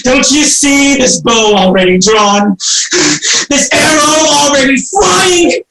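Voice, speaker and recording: An actor playing a sick, tormented young prince haunted by madness, weary and feverish by turns. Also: male voice, fairly high pitch, a bit bright. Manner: weary and feverish, swinging into frantic raving. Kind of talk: stage play reading. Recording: roomy echo.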